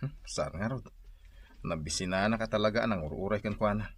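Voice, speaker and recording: A man's voice: lowish voice, fairly quick, breathy edge, no room sound. A man with an Ilocano accent acting an easygoing, put-upon husband who mutters and sighs in a radio drama. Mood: resigned. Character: easygoing, put-upon married man, obliging brother-in-law, mildly grumbling.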